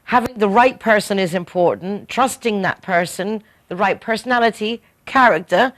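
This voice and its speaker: A woman's voice: medium pitch, moderate pace. Friendly female radio host. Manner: friendly and conversational. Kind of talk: interview hosting.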